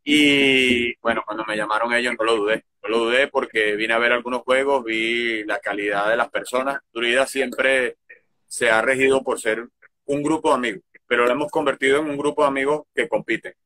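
A middle-aged man from Venezuela with a Venezuelan accent mixed with Galician Spanish, answering interview questions as a baseball manager, relaxed and hesitant, recorded outdoors on a phone. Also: mid-range voice, moderate pace. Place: outdoors by a field.

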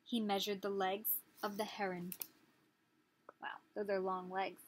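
A woman's voice: medium pitch, level tone, unhurried, slight background noise. A woman in her thirties reading a picture book aloud as a teacher, expressively, putting questions to small children. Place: small living room, indoors.

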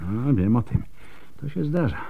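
An actor playing a tipsy, wry and animated physician in a radio drama, with a husky voice and a theatrical delivery. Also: male voice, medium pitch, normal speed, narrow, tinny audio, heavily acted. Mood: reflective.

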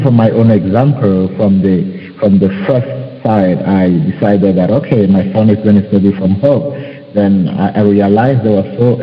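A man from Cameroon speaking as a panelist on an online talk show, halting and searching for words. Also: fairly low pitch, long reverb tail, muffled recording. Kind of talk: casual video call discussion.

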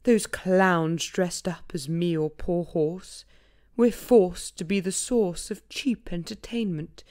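A woman's voice: lowish voice, mellow. A woman voicing a grumbling cow that is fed up with pantomimes, in a storybook poem. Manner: frowning, grumbling.

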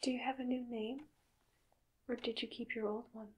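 A woman speaking in a soft, breathy whisper, slow and soothing, as a calm doctor in an ASMR roleplay. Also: fairly high pitch, a little hiss or hum, low-quality recording. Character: calm hypnotist doctor addressing a robot patient.

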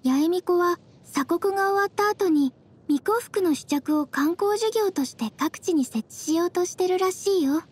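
Casting: young traveler heroine, anime game, very high girlish pitch, soft-spoken, composed, gently teasing. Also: moderate pace. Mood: matter-of-fact.

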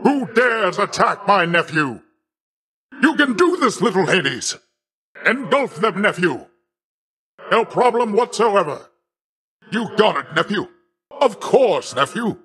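A man's voice: sits high, big pitch swings, slow, pauses often, fairly bright tone, heavily acted. A man voicing a boisterous, boastful sea god uncle, speaking in a hearty way. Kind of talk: video game voice lines.

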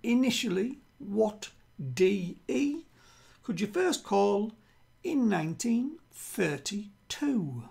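Older man, British accent, quiz host, raspy, slow-paced, deliberate, repetitive. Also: high-pitched, sing-song, darkish tone. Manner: deliberate, repeats himself. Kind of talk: reading quiz questions to camera. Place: small room at home with bookshelves.

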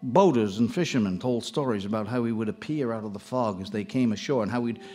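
A man's voice: lowish voice, quick pace, mellow, faint music behind, natural voice. A man playing a plainspoken small-town local, narrating a live audio drama in a folksy, colloquial way. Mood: reflective, grim.

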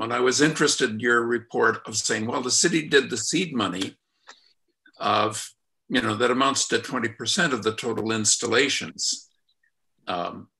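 A man in his seventies giving deliberate, halting comments as a committee member in an online meeting. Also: medium pitch, sharp tone. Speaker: committee member from a cycling coalition. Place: indoor room at home, on a video call.

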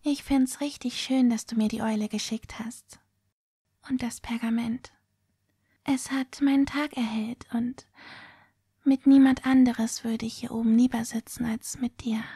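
A woman playing a dreamy, candid teenage schoolgirl in an audio drama, speaking gently with a soft, whispery voice. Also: on the high side, fairly even intonation, a little slow, breathy edge, no echo, studio quality. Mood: warmly touched. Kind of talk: amateur audio drama acting.

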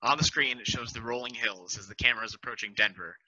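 A man reads stage directions in a matter-of-fact way over a headset. His voice is very bright and sharp. The sound is dry and lo-fi. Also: medium pitch, quick pace, dry recording.

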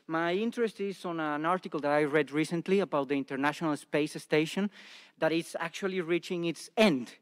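A man's voice: fairly high pitch, clean tone. A man from Mexico in the audience of a panel talk, asking a rambling, jokey question into a handheld mic in a large lecture hall. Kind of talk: public Q&A question. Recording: long hall reverb.

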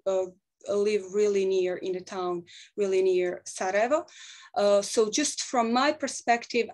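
A woman in her thirties from Bosnia and Herzegovina, speaking English with a Bosnian accent at a webinar panel. She talks earnestly, pausing often to find her words, over a webcam in a small office. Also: medium pitch. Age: 30s. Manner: earnest, halting, thinks aloud between phrases. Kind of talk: webinar talk. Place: small office with shelves of books, indoors. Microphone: webcam on a video call.

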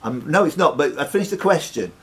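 A man in his fifties acts as quiz master, with a voice pitched a little high. He reads questions out to the players and teases them with jokey banter between items. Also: animated, fast talker, slight background noise. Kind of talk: live pub quiz hosting, reading questions. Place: social club bar room.

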